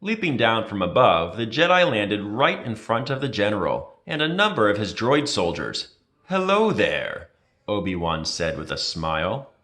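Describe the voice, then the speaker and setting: Expressive male storybook reader. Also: fairly low pitch, normal speed. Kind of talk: children's storybook read-aloud.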